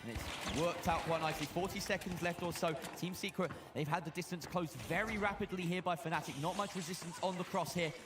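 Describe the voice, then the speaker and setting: Man, esports caster, high voice, live play-by-play, music underneath. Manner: fast, rising to loud shouts at big plays.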